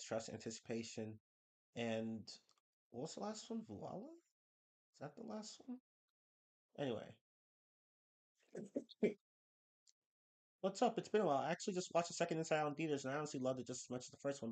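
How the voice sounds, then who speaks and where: A young man chatting casually on a live stream, rambling with restarts, slightly congested from a cold. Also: mid-range voice. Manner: rambling, restarts and laughs, quick bursts.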